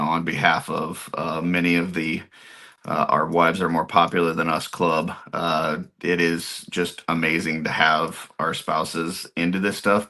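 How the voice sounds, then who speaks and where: A man chatting on a livestream, speaking haltingly with many fillers. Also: low-pitched, bright, forceful tone. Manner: halting, lots of uh and you know. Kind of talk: casual chat.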